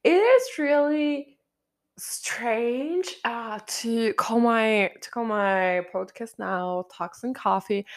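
A young woman with a slightly raspy, hoarse voice hosting her podcast in casual chat, repeating herself and restarting her sentences. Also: a little high. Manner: chatty, repeats herself, frequent restarts and fillers. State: hoarse after a concert. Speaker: podcast host. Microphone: studio microphone.

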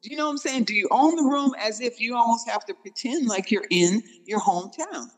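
Frank, high-pitched female dating coach, reverberant recording. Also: fairly quick. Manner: frank, slangy, animated coaching. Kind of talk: casual chat.

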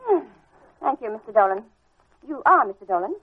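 Casting: middle-aged prim American schoolmarm, imperious, high swooping voice, old radio serial with tinny narrow sound. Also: female voice, sing-song, brisk, slightly rough. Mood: prim, businesslike. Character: prim, bossy, unflappable middle-aged New England schoolteacher woman. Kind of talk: old-time radio drama acting. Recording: tinny, band-limited vintage radio recording.

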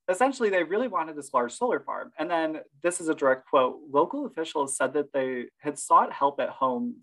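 A man's voice: a little high, fairly bright tone, poor audio. A man co-hosting a casual podcast, laughing often and reading quotes from his sources. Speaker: podcast co-host.

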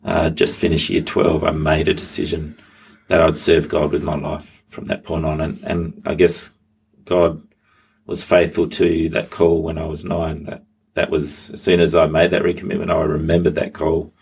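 A man's voice: very deep voice, monotone, normal speed, creaky, a little mellow, a little hiss or hum. A middle-aged man, a pastor, telling his story as an interview guest, hesitant with many ums and restarts. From Australia. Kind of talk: interview guest, personal testimony.

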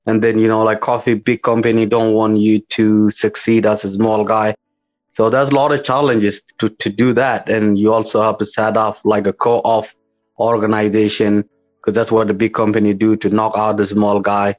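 A man with a South Sudanese accent answering interview questions as a company founder, in informal, halting, repetitive phrasing. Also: lowish voice, flat delivery, soft background music. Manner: informal, repetitive, halting phrasing with non-standard English grammar.

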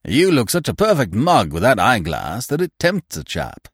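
A man's voice: medium pitch, huge pitch swings, moderate pace, slightly rough, dry recording, studio quality. Man acting a jovial chum, wildly swooping voice. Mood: deflated, confiding. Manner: effusive, chummy, exclamatory. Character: jovial hearty male sponger, gushing old-chap bonhomie.

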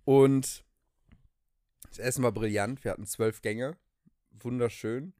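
A man's voice: medium pitch, steady tone, slow, dark tone, no echo, studio quality. A young man co-hosting a podcast, telling stories casually and enthusiastically with slangy English phrases mixed in. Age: young adult. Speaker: podcast co-host. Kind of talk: casual conversational storytelling.

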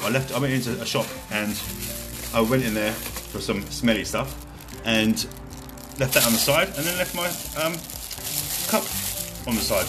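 A British man casually hosting his own podcast, rambling, joking at himself and swearing freely. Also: mid-range voice. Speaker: podcast host. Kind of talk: casual solo podcast chat.

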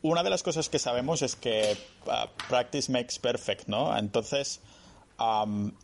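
A man's voice: medium pitch, unhurried, vocal fry, slight background noise. A man hosting a casual interview, chatty, asking long winding questions. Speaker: podcast host.

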